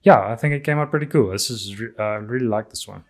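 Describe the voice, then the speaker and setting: A man's voice: lowish voice, fast. A man whose first language is Afrikaans casually talks through his drawings, with many ums and restarts. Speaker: artist reviewing his drawings. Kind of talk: casual narration over artwork.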